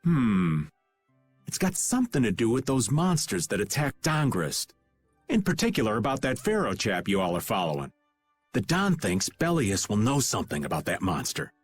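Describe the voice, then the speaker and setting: A man voicing a laid-back, wry middle-aged rogue in an animated role-playing video game. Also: fairly low pitch, moderate pace. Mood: wry, casual. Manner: casual, wry, refers to himself by name. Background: faint background music.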